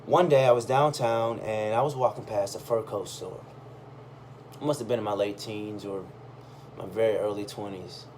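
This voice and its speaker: A man's voice: fairly low pitch, fairly even intonation, moderate pace, a little mellow. Man reading a personal story, some background noise. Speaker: reader of a personal essay. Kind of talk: expressive first-person storytelling, read aloud.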